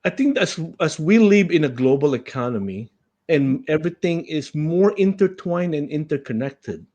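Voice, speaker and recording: A man from the Philippines, a leadership coach answering interview questions in an earnest, measured way. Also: on the high side, expressive, a little mellow, highs cut off.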